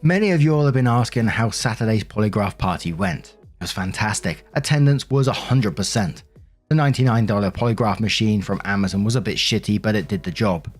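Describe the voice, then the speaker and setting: A man's voice: fairly low pitch, brisk, faint music behind, lightly acted. A man reading out the posts of an indignant, bossy bride in a self-righteous, emphatic manner. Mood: self-satisfied. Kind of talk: dramatic reading of social media posts.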